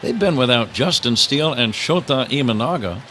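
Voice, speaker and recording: A man's voice: mid-range voice, moderate pace, slight background noise. A man calling a baseball game live on the radio as the play-by-play announcer, with the ballpark behind him. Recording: steady ballpark ambience behind the voice. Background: outdoor ballpark ambience.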